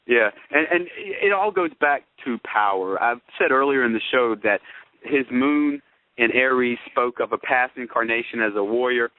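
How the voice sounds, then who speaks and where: A man's voice: mid-range voice, animated, normal speed, no room sound. A man co-hosting a talk show, giving conversational astrological analysis in a wordy, expository way with rhetorical questions. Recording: narrow phone-like radio sound.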